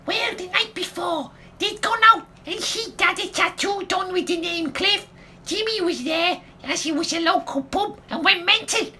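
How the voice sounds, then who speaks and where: An actress playing a chatty, cheeky gossip in an audio comedy, rambling through stories in colloquial, giggly speech. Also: female voice, very high voice, normal speed, bright, forceful tone, a little hiss or hum. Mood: gleeful gossip. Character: chatty, cheeky working-class woman, gleeful gossip. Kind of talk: audio comedy radio play acting.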